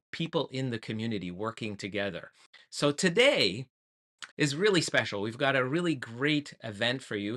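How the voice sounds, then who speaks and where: An enthusiastic man opens a live online event over a video call. His voice has a bright edge, and he halts often with fillers. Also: medium pitch. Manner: enthusiastic, halting with many fillers. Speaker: organization representative introducing the event. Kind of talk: casual live event introduction.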